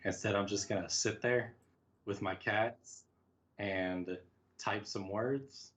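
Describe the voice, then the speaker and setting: A man's voice: lowish voice, fairly even intonation, slow pace, a bit bright. A man speaking casually as an interview guest, reflective and self-deprecating, often acting out small quoted asides. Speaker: podcast guest, writer.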